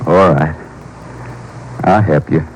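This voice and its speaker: An actor playing a desperate, defeated ex-convict husband, in old-time radio melodrama. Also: male voice, very deep voice, slow. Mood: resigned. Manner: emotional, folksy, bitter. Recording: faintly noisy vintage radio recording.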